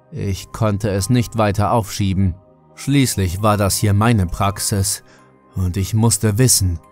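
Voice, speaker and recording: A man's voice: on the low side, darkish tone. A man reading a scripted first-person horror audiobook as the narrator.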